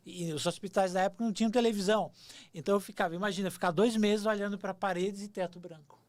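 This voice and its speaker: A man's voice: high voice, fairly even intonation, fast, no echo. A man in his forties, a podcast guest, telling stories in an earnest, nostalgic way, often repeating words and restarting as he speaks. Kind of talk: reflective storytelling.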